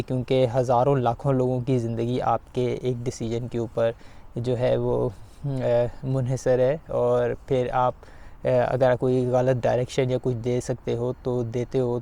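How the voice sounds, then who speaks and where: A man giving an informal explainer to viewers, speaking haltingly with repeated filler phrases. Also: medium pitch, mellow. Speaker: video guide host.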